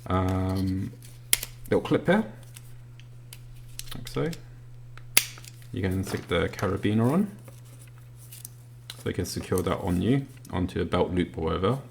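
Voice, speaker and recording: Low-voiced man reviewing, gravelly. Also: animated, unhurried, pauses often. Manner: hesitant, unscripted, matter-of-fact. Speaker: product reviewer. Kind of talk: casual unboxing review. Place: indoors at a tabletop workbench. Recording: noisy home recording with clicks.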